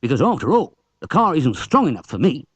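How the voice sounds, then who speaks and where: A British man voicing a polite, halting amateur mechanic and racer in a storybook. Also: on the high side, very animated, breathy edge, no room sound, pitched above the performer's own voice. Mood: dismayed.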